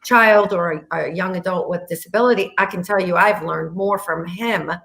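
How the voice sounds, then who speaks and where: A woman's voice: on the low side, normal speed, vocal fry, a bit bright. A middle-aged woman hosting an interview in a conversational style. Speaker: interview host.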